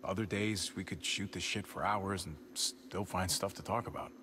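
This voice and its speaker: A man's voice: deep voice, steady tone, moderate pace, noisy background, lightly acted. An actor playing an earnest, protective American man surviving in a video game drama, speaking sincerely and firmly. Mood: measured. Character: earnest, protective young survivor, loyal younger brother.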